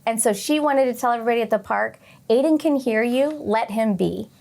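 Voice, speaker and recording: A woman teacher reading aloud and talking to young children, expressively, with questions and pauses for their answers. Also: on the high side, gravelly, a little mellow. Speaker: teacher hosting a children's read-along. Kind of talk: read-aloud and lesson addressed to young children.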